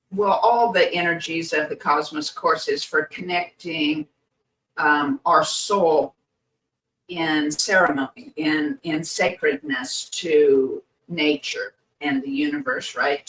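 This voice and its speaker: Podcast co-host, woman, croaky voice, halting and unhurried, sits a little far off the mic. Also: deep voice, fairly bright tone. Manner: hesitant, many pauses and fillers. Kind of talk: slow reflective podcast chat.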